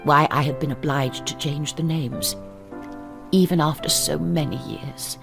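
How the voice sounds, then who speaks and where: A woman's voice: deep voice, steady tone, a little slow, vocal fry, over music. An actress playing a prim, formal lady in a radio drama, speaking with precise diction. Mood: reflective. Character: Rose Sayre, prim English spinster, missionary's sister.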